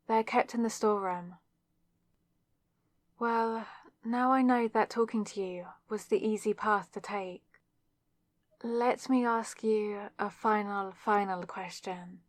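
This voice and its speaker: A woman's voice: fairly high pitch, no room sound, studio quality. A young woman playing a flustered, awkward student witch with a wry, self-deprecating manner in an ASMR roleplay, speaking straight to the listener. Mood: elated, shy. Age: young adult. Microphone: close microphone in a dry space.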